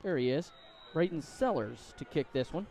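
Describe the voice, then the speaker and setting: A man doing excitable live play-by-play commentary of a high school football game, outdoors at the stadium. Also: normal speed, a little hiss or hum. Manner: excitable, voice climbs to shouts on big plays. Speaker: play-by-play sports announcer.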